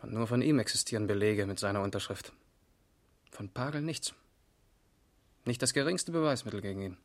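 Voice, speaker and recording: A man's voice: lowish voice, brisk, lightly acted. An actor playing a matter-of-fact, precise male police investigator in a radio crime drama. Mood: sober, reporting. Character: sober, methodical male East German police investigator. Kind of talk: 1970s German radio crime drama acting.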